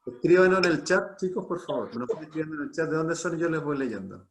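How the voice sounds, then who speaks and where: A man's voice: on the high side, animated, fast. A Chilean man casually hosting a live online talk, chatty and given to repeating short phrases. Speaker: host of an online talk series.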